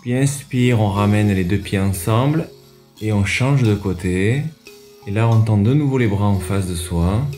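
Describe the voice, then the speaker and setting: A man leads a yoga session in a low, very soft-toned and mellow voice. He gives measured instructions one step at a time, leaving pauses between them. Faint music plays underneath. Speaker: yoga teacher and radio show host. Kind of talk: guided yoga class instruction.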